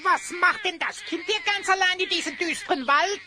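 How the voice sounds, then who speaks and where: A woman playing a stubborn, excitable little elf goblin in a fantasy audio drama, emphatic and quick to repeat protests. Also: very high voice, background noise. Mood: puzzled, concerned. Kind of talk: German audio drama acting.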